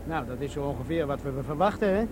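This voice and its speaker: Eager, higher-voiced army corporal acted by a man. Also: lively intonation, fast, heavy background noise, close to an everyday voice. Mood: curious. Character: plotting army corporal, eager, encouraging sidekick to sergeant. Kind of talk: comic crime radio play acting.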